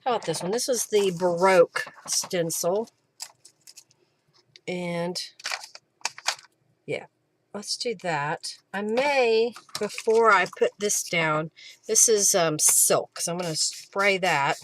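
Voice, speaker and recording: A woman's voice: fairly low pitch, slow pace, pauses often, background noise. A woman hosting a craft livestream, casually talking through each step and thinking aloud as she works. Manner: informal, talks to herself and viewers while working. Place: live stream while working with craft materials.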